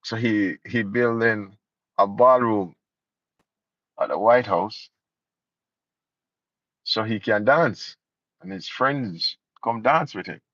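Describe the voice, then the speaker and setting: A man with a Jamaican accent talks through casual political commentary, sarcastic and indignant. His voice sits low, and the recording is dull and lo-fi, dry and close. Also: takes it slowly, long pauses, a bit bright, no echo. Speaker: vlogger.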